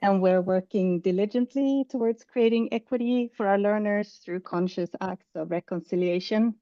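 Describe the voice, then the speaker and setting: A woman from Sweden presents at an education conference, speaking in a calm, measured way. Her voice is mellow and dry, with no room echo. The call audio sounds a little dull and muffled. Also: medium pitch.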